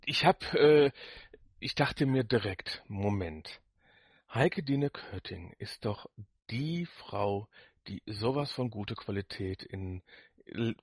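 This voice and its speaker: A man's voice: mid-range voice, dry recording, studio quality. A man co-hosting a podcast, giving his opinions in casual, unscripted chat. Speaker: podcast co-host.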